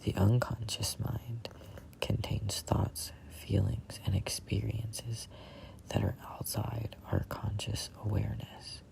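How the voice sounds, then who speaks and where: Slow whispered read-along with heavy creak. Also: mid-range voice, little pitch movement, a little mellow, low-quality recording. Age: 20s. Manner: halting, deliberate phrasing with stumbles over words. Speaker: reader of a self-help book.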